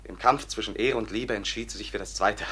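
Husky, fervent young nobleman. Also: lowish voice, fairly quick, pushed and bright. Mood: agitated storytelling. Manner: earnest, fervent declamation. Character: passionate young Bohemian nobleman, wronged and desperate. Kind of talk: classic German radio play acting.

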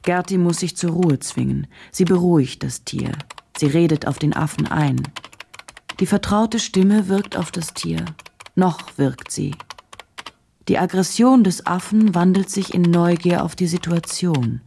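An actress playing a journalist who narrates a case in a sober, detached, measured way for a radio drama. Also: female voice, fairly low pitch, mellow. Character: woman journalist soberly reconstructing a dark case. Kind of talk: German radio drama, present-tense report narration. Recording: clear noise, typing clicks behind.

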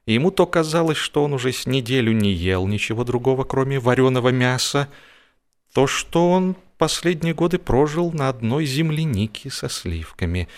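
A man narrating a humorous story in an expressive, theatrical, wry manner with deliberate emphasis. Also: medium pitch. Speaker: audiobook narrator. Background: music.

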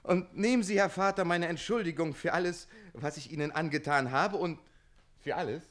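An actor playing a quick-witted, defiant servant in a radio comedy, declaiming theatrically and splitting hairs with passion. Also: male voice, a little high, fairly bright tone, close to an everyday voice. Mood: moved, cheeky. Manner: declamatory, hair-splitting, impassioned. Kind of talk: German stage comedy radio play acting.

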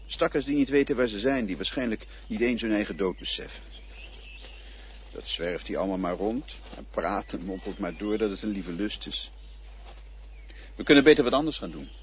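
A man playing a calm, cryptic male guide who speaks of the dead in a patient, lecturing way, in a radio play. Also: fairly low pitch, moderate pace, a little hiss or hum, lightly acted. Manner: patient, lecturing, quietly mocking. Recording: dull lo-fi old radio recording.

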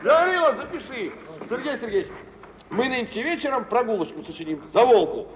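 Jovial wealthy merchant, high and swooping, hearty drawl, theatrical old radio style. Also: male voice, expressive, fairly quick. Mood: expansive, relishing. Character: genial, wealthy, jovial merchant who loves revelry. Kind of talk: mid-century theatrical radio drama acting. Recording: muffled, noisy, echoey old radio recording.

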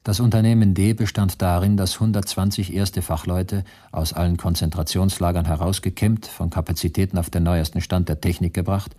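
Ex-prisoner narrator, deep voice, husky, formal, matter-of-fact, radio drama narration. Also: male voice, mellow. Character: sober male former prisoner recounting camp events. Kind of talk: scripted narration in a radio play.

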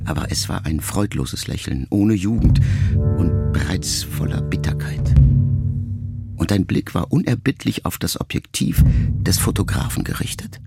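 Deep gravelly man reading quietly. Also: animated, unhurried, over loud music. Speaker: narrator reading a letter. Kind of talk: scripted literary narration in a radio production.

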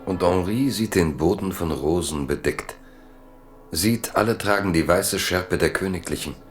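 Casting: male narrator, low register, literary radio drama, deliberate dramatic storytelling. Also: over music.

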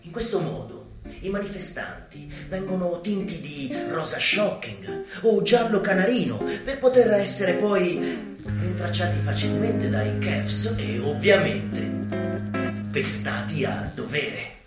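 A man performing a satirical stage monologue in an ironic, emphatic manner with dramatic pauses. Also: sits high, sing-song, echoey room. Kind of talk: theatrical satirical monologue in a live show. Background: live guitar music.

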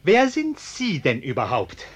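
A blustering middle-aged householder in a radio play. His voice sits on the high side and leaps about with animation. He is pompous and indignant, yet flusters easily and repeats himself. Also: huge pitch swings, unhurried, roomy sound. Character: blustering, indignant, cowardly bourgeois householder and husband. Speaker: actor. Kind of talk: German radio play acting.

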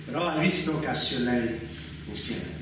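An actor playing a jealous general in a stage tragedy, swinging from hushed menace to shouting at his wife. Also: medium pitch, animated, normal speed, lightly acted. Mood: suspicious, probing. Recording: very echoey, distant, noisy stage recording.

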